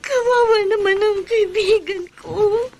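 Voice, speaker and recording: A woman's voice: extremely high pitch, unhurried, a little mellow, slight background noise. An actress playing a frightened young village woman who stammers and speaks tearfully in a radio drama.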